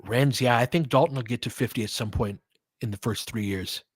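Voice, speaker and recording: Relaxed young man calling a game live. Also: mid-range voice, fairly quick, dry recording, clean studio sound. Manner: relaxed, conversational, answers chat by name. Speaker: host of a live game watch-along stream. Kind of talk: casual live play-by-play and chat with viewers.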